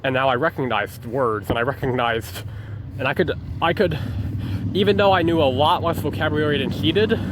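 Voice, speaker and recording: Young adult male vlogger, casual rambling delivery, mid pitch, faint background noise. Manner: rambling, hesitant, many restarts and fillers. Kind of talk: rambling journal vlog.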